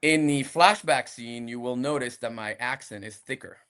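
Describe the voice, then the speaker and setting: A man's voice: mid-range voice, normal speed. A man from France with a light French accent, an actor talking casually in an interview with frequent restarts. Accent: French, softened by years abroad. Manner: relaxed, hesitant, many restarts. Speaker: actor guest.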